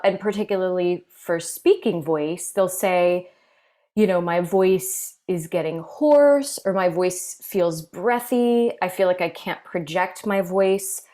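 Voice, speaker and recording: A woman voice clinician giving measured, explanatory interview answers with frequent fillers. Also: lowish voice. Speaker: voice clinical specialist.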